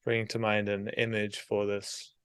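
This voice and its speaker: A man leading a guided meditation in a soothing, unhurried manner, leaving long pauses between short phrases. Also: on the low side, completely flat delivery, a bit bright, smooth, no echo.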